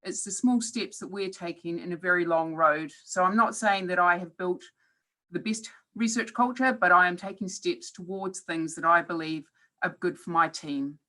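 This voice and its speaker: A woman's voice: lowish voice, moderate pace, sharp tone. A woman in her forties with a New Zealand accent, giving a reflective webinar talk as a professor, with occasional hesitant fillers. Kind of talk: presentation talk.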